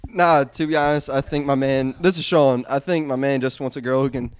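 A man's voice: mid-range voice, steady tone, fairly quick, smooth, no echo. A man in his twenties, a podcast guest making wry jokes. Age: 20s. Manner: joking, wry.

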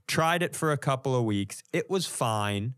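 An American man talks like a comedian on a casual podcast. His voice sits at a middling pitch, and he tells his stories in an animated way. He swears freely and acts out the reactions of the people in them. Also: normal speed, smooth.

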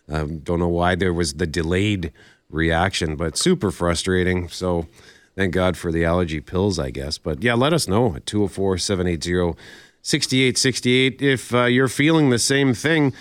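A man's voice: deep voice, dry recording. A man co-hosting a radio show in easygoing, casual chat, a bit sniffly from allergies. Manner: easygoing, chatty with restarts.

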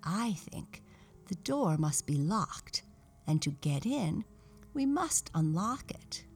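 An earnest little rag doll from a children's storybook, acted out by a woman. She thinks aloud in a slow, ponderous way, with faint music behind her. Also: fairly low pitch, big pitch swings, slightly rough, exaggerated voice. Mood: thoughtful. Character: earnest, thoughtful young girl rag doll.

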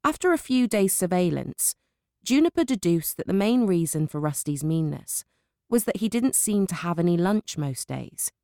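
A British woman reads a children's story in a warm, slightly low voice with a noticeable creak. Her storytelling is lively and engaging, and the recording is close and dry. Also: no room sound. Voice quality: warm, distinctive. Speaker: audiobook narrator. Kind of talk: scripted storybook narration.

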